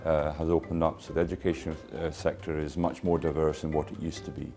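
A man's voice: a bass voice, level tone, a little fast, warm tone, faint music behind. A man speaking as an education and training professional, giving interview answers for a promotional film.

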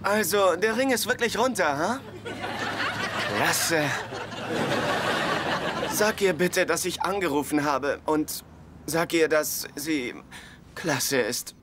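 A man dubbing a jealous, goofy young fiancé with excitable, awkward bluster. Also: on the high side, slow pace, pauses often, heavy background noise. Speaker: dubbed sitcom character.

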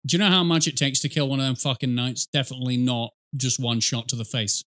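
A man's voice: medium pitch, steady tone, fast talker, fairly bright tone. A man in his thirties giving casual reaction commentary in a sweary, self-mocking way. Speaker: reaction video host, gamer.

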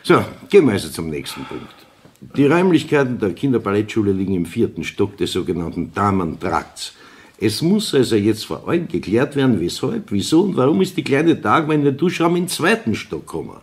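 An actor playing a senior police councillor with a Viennese accent, brisk, dry and authoritative, in a radio crime drama. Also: male voice, fairly low pitch, big pitch swings. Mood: businesslike.

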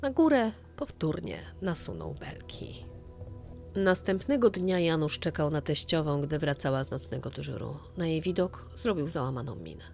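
A woman's voice: low-pitched, expressive, normal speed, vocal fry, a little mellow, faint music behind. A woman narrating a true-crime story as a dramatized audio reading.

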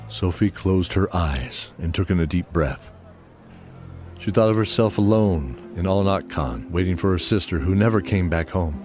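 A man's voice: deep voice, moderate pace, a little mellow. A man narrating a fantasy audio drama as scripted storytelling. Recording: music under the voice. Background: music.

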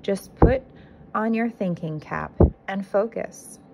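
A kindly male schoolteacher from a storybook, read by a woman, encouraging and clearly enunciated. The recording is homemade, with noticeable background noise. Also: mid-range voice, slow.

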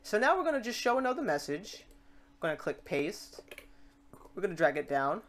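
A tutorial host with a mid-range voice walks through software steps in a casual way. The delivery is informal and unscripted, thinking aloud and self-correcting while working. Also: medium pitch, sing-song, brisk, slight background noise. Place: voice-over on a screen recording.

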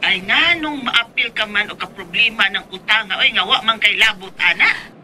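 A woman's voice: mid-range voice, normal speed, bright, forceful tone, soft background music. A Filipino actress with a Cebuano accent playing an exasperated mother who nags and scolds her grown daughter in a radio drama. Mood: scolding. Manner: nagging, scolding.

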